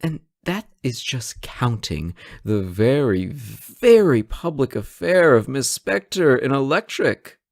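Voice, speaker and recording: A man's voice: mid-range voice, sing-song, slow, hardly any pauses, darkish tone, no echo, clean studio sound. A man acting a sarcastic, teasing hostage who taunts a supervillain in a conversational, theatrical roleplay audio drama. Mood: exasperated, teasing.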